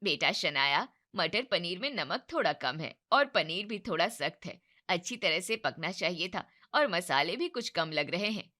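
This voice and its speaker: A woman's voice: lowish voice, lively intonation, normal speed, few pauses, pushed and bright. A woman voicing a fussy, critical middle-aged mother-in-law who complains about cooking and cleaning.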